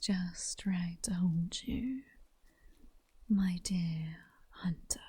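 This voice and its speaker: A woman with a British accent playing a seductive, mocking vampire queen in a slow, breathy, teasing whisper for an ASMR roleplay. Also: mid-range voice, warm tone. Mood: mocking, menacing. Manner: slow, teasing, sultry, condescending. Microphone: close-up ASMR microphone.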